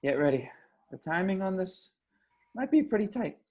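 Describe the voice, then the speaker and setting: A man's voice: high voice, big pitch swings, normal speed, darkish tone. A man playing a scrappy young stoner hero in an amateur screenplay table read, casual, slangy and wry. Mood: tense, explaining.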